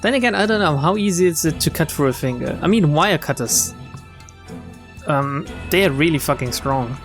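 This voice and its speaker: A man's voice: fairly high pitch, expressive, moderate pace. A man streaming a visual novel, reading the text aloud and adding wry, casual asides. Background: game music.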